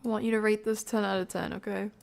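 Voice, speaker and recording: A woman hosting a game stream, chatting casually and playfully with viewers in an informal, slangy way, her voice often creaky and sometimes soft. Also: mid-range voice, flat delivery, fast talker. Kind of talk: casual chat with live viewers.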